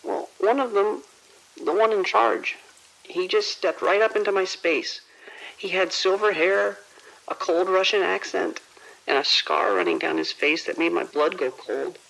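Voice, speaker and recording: An actor playing a frightened, timid male hospital pharmacy worker in an audio drama, halting, stammering and hushed. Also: mid-range voice, vocal fry, low-quality recording. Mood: panicked.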